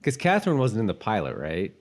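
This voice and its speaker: A man's voice: on the low side, huge pitch swings, fast. A man co-hosting a podcast in casual conversation about a TV show. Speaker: podcast co-host.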